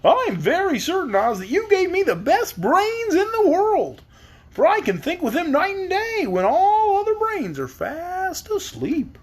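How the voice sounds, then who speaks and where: A reader voicing a cheerful male straw scarecrow from a storybook, talking slowly and eagerly in a much higher voice. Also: huge pitch swings, exaggerated voice. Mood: proud. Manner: slow, eager, high-pitched.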